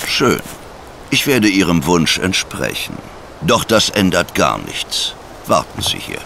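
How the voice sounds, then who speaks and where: An actor playing a gaunt, stern, disdainful manor butler in a radio play, stiff and formal. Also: male voice, deep voice, wildly swooping pitch, takes it slowly, vocal fry, pushed and bright, a little hiss or hum, full character voice. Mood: cold, dismissive.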